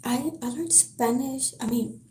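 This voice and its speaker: A woman with a French accent, chatting easily on a live stream and reading a novel aloud in a soft-spoken way. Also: a little high, a little slow, a little hiss or hum. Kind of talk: casual live-stream chat and book reading. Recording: roomy echo.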